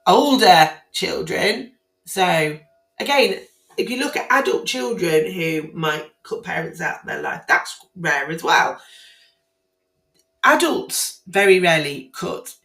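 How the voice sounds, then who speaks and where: A woman with a hoarse, croaky voice talks to camera in an indignant, emphatic way, circling back and restarting her phrases. Her tone sits a little low and gravelly. The room around her adds a noticeable echo. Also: slow pace, fairly bright tone. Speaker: family court commentator. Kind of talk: casual spoken commentary on guidance to camera. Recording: roomy echo.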